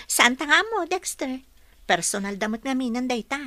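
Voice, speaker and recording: A woman's voice: high voice, lively intonation, moderate pace, bright, forceful tone, no room sound. A woman playing a playful young woman being teased about romance in a radio drama.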